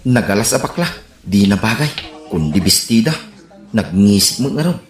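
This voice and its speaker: Actor as reluctant, grumbling Ilocano friend, animated pitch. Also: male voice, moderate pace, faint music behind. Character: reluctant, grumpy, suspicious male friend. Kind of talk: comedy radio drama. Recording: echoey room.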